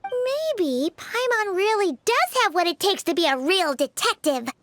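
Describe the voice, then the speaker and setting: A chirpy pint-sized companion, squeaky and lively, dry close sound. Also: female voice, a little slow, no room sound, exaggerated voice. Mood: thoughtful. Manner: excitable, refers to herself in third person. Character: tiny chirpy floating girl companion, excitable. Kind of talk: game cutscene dub.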